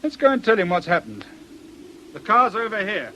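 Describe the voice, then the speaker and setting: An actor playing a shrewd British police inspector in a radio drama, with a dry, colloquial, knowing manner. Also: male voice, very animated, normal speed, bright, forceful tone, exaggerated voice. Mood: dry, explanatory. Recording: noisy outdoor ambience, vehicle rumble.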